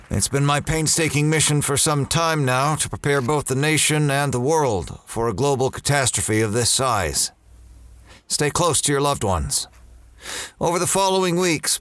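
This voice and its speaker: An actor playing a grim, weary, commanding space ranger in a sci-fi audio drama, with stern, measured delivery. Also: male voice, mid-range voice, a bit bright, no room sound, close to an everyday voice. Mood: rallying, solemn.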